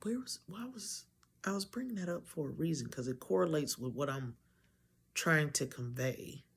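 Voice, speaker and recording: A young woman with a deep voice, talking candidly to camera in a rambling way, often losing her train of thought and correcting herself. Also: expressive, normal speed, slightly rough. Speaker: vlogger. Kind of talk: candid personal talk to camera.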